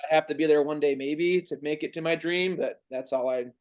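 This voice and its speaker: A man in his twenties, a baseball player guesting on a podcast, explaining in a relaxed, rambling way with frequent restarts. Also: a little high, a little fast. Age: 20s. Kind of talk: casual chat.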